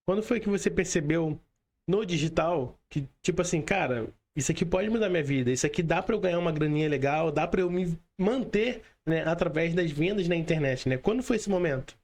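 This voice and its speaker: A man's voice: fairly high pitch, animated, fairly quick, a little mellow. A man with a Brazilian Portuguese accent, a podcast host talking in a chatty, casual way and filling his talk with tag questions. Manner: chatty, filler-heavy, says "cara" and "né" often.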